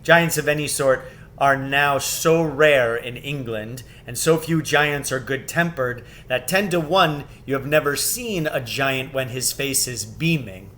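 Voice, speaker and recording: A man reading a children's novel aloud as scripted narration, with measured, expressive phrasing. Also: medium pitch. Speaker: storybook reader.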